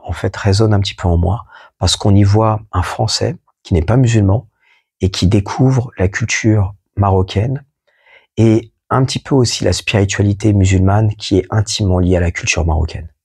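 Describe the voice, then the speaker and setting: Slow husky man reading aloud. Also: fairly low pitch, darkish tone. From France. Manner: slow, measured reading with asides. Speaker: podcast host. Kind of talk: reading a poetic first-person text aloud.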